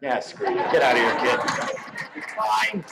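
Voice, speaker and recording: A man's voice: mid-range voice, big pitch swings, unhurried, creaky, background noise. A man doing joking, teasing showman patter as a magician and presenter at a live event. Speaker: stage magician co-presenting an awards show. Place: live event stage before an audience.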